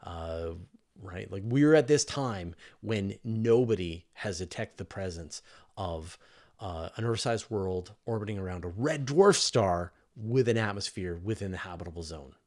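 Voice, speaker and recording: A man's voice: deep voice, lively intonation, a little slow, a little mellow. A man hosting a live question-and-answer stream, talking casually and thinking aloud as he enthusiastically explains things. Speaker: host of a space Q&A livestream.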